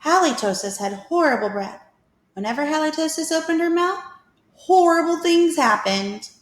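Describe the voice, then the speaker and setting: High-voiced woman reading a children's story, echoey. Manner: expressive, deliberate storytelling. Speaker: teacher reading aloud. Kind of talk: children's picture-book read-aloud. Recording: echoey room.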